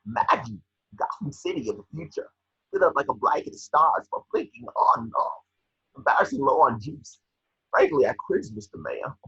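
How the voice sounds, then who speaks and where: Man playing a smug, raspy business tycoon. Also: medium pitch, sing-song, normal speed, pushed and bright, pitched above the performer's own voice, heavily acted. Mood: grandiose. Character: smug, impatient male business tycoon pitching grand plans. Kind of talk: amateur table read acting. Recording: echoey room, dull home microphone.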